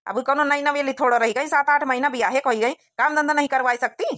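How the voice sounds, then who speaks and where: Woman as a high-voiced, practical village husband. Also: steady tone, fast talker, fairly bright tone. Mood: firm, grumbling. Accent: Awadhi. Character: grown village son and husband, practical, plain-spoken. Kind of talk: village cartoon comedy acting.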